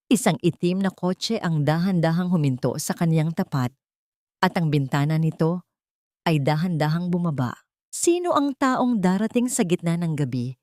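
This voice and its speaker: Low-voiced Filipino woman narrating drama. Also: darkish tone, no echo, clean studio sound. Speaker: audiobook narrator. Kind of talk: dramatic scripted story narration.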